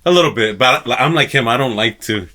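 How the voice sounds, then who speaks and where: A man with a sharp, very bright voice at a middling pitch. His intonation swings widely as he talks. He keeps it informal and slangy, joking like a musician hanging out with friends. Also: animated, fast talker. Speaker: musician in a norteño band, asking and answering questions. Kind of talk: casual chat.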